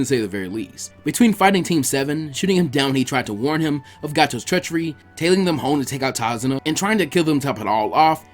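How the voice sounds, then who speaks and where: A man reading fan fiction aloud as an expressive story narrator. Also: on the high side.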